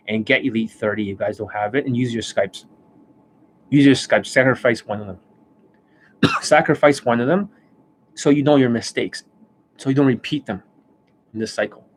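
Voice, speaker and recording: A man's voice: mid-range voice, slight background noise. Ranting man with a tired voice. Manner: blunt, ranting, swears often. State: losing his voice, coughing. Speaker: dating coach. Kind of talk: livestream monologue. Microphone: desk microphone.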